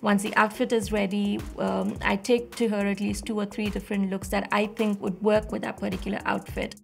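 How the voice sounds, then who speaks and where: A woman makeup artist explains her methods step by step, with a few fillers along the way. Her voice carries a noticeable fry, and soft music plays under her. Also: mid-range voice, flat delivery, brisk. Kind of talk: interview.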